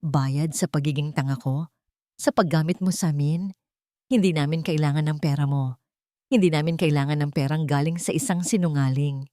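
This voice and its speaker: A woman's voice: low-pitched, moderate pace, warm tone, no room sound, clean studio sound, close to an everyday voice. A woman voicing a heartbroken young woman who bitterly accuses her lover in an audio love story. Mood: bitter, angry. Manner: accusing, wounded, emotional.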